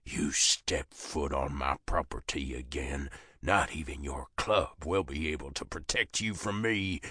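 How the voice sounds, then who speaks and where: A man's voice: medium pitch, huge pitch swings, a little slow, vocal fry, pushed and bright, dry recording, clean studio sound, voice pushed up, exaggerated voice. A man voicing a stern, contemptuous older father with a Southern US accent and a biting, commanding delivery, in an audiobook drama. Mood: menacing.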